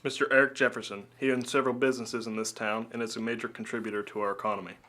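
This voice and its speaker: A man's voice: mid-range voice, flat delivery, rapid-fire, bright, forceful tone, close to an everyday voice. A man playing an official, businesslike police commissioner in an amateur radio drama. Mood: formal, brisk. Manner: formal, businesslike.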